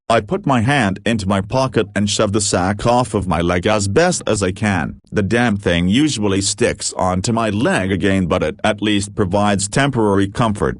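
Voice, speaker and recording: A man with a fairly low voice reads a run of online posts aloud, one after another. His delivery is flat and steady, the way a narrator reads text off a page. The sound is close and dry, with no room around it. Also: dry recording. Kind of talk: read-aloud story narration.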